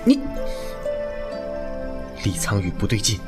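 A man's voice: on the low side, wildly swooping pitch, takes it slowly, over music, exaggerated voice. A man playing an earnest, cautious young martial-arts hero in a Chinese radio drama, serious and plain-spoken. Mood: grave.

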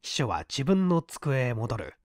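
An audiobook reader giving a measured, dramatic narration of literary fiction. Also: mid-range voice, very animated, a little mellow, no room sound, clean studio sound.

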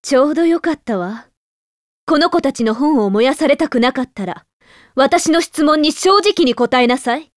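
Haughty young noblewoman, high voice, stern, resolute, dry anime game recording. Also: animated, normal speed, no echo. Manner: haughty, commanding.

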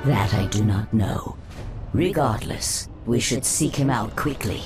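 A woman voicing a devoted, coolly scheming sea-serpent sorceress in a fantasy game, in measured, formal speech. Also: very deep voice. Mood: watchful. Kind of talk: scripted fantasy game acting. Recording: music under the voice.

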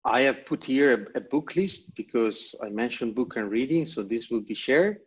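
A man's voice: medium pitch, expressive, normal speed, breathy edge. An Italian man with an Italian accent presenting a motivational online lecture, speaking in emphatic, repeated short phrases over a video call. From Italy. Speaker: guest presenter. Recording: dull, lo-fi video call audio.

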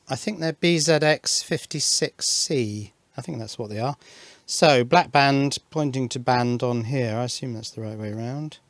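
A man presents a hands-on electronics build in an informal way. He thinks aloud as he explains each step, with a mid-pitched voice in a dry, echo-free recording. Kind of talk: informal hands-on technical narration.

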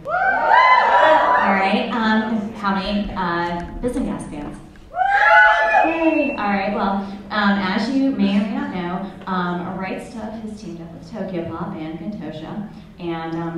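A woman's voice: on the low side, slight background noise. A young woman speaking on a convention panel at a table mic, upbeat and full of ums as she rallies the crowd. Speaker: panelist from a retailer. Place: large convention hall. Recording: echoey hall, taped from far off.

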